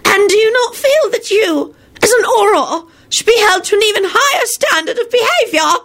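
Lady doing tiny ancient witch judge from a storybook, raspy n shrill, snappy and accusing. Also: animated, moderate pace, fairly bright tone. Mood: sharp, triumphant. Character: ancient tiny stern witch, senior court official, sharp and triumphant.

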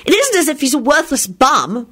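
Woman voicing a high-pitched, cheeky spoiled young woman. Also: sing-song, measured pace, exaggerated voice. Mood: indignant. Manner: airy, indignant, gushing.

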